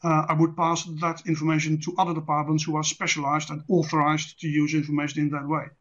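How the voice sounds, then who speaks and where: A man with a Dutch accent, a native Dutch speaker, presenting an online lecture in a measured, deliberate, didactic way. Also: on the high side, monotone, fairly bright tone. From Netherlands. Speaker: presenter, intelligence specialist. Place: online presentation with screen share. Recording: dull lo-fi online call audio.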